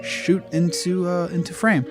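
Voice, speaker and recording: A man's voice: high-pitched, little pitch movement, a little slow, music in the background. A man narrating a tabletop game as game master, improvising in a casual, slangy way. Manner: informal, slangy, swearing. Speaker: game master and podcast host.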